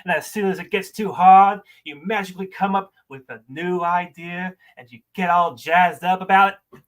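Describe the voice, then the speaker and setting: Bitter, scornful man played by a male actor, higher-voiced, berating someone emphatically in an audition monologue. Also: steady tone, moderate pace, natural voice.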